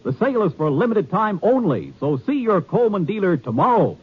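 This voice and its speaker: A male American announcer delivers a vintage radio sales pitch in a high, very lively voice. The sound is tinny and badly fuzzy, like a 1950s broadcast. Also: a little fast, husky. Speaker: commercial announcer. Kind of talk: 1950s radio advertising read.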